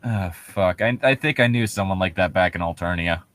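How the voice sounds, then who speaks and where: A man's voice: deep voice, fairly quick, fairly bright tone, no room sound. A man co-hosting a comedy podcast, casually riffing on horror stories in a profane, sarcastic, ranting way. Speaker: podcast co-host.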